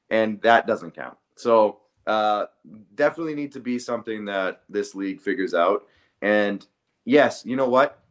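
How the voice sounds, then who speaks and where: Low-voiced man arguing a sports call. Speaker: sports podcast co-host. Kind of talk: casual sports debate.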